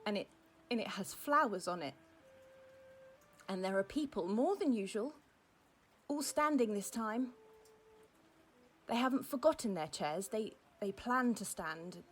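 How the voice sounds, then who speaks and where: An actor playing a grieving woman who gently describes what she watches, in simple, hesitant phrases, as an audio drama monologue. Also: medium pitch, lively intonation, a little slow, long pauses, slight background noise, natural voice. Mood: wistful, hesitant. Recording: music behind the voice.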